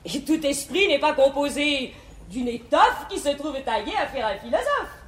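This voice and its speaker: A modest, sensible young sister from classical stage comedy, speaks in a very high voice. Her verse is poised and measured, with sweet irony, in the 1950s manner. The theatre stage around her is strongly echoey. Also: big pitch swings, a bit bright. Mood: gently ironic.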